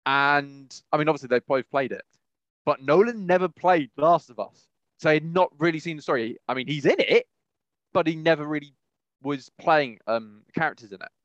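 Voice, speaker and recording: A man's voice: a little high, moderate pace, no room sound, muffled recording. A man chatting casually as a podcast co-host in a rambling, enthusiastic way. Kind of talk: casual chat.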